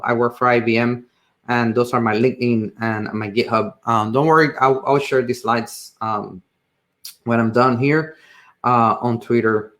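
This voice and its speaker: A man with a Puerto Rican accent, a native Spanish speaker, gives an informal technical presentation in halting, hesitant phrases. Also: medium pitch, a bit bright. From Puerto Rico. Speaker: tech presenter.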